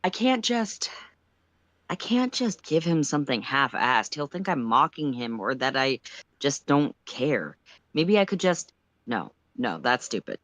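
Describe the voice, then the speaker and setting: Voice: medium pitch, sing-song, a little slow, a bit bright, no room sound. A narrator voicing an anxious, sarcastic teenage boy who mutters and interrupts himself in a story reading. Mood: flustered. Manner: muttering, self-interrupting, sardonic.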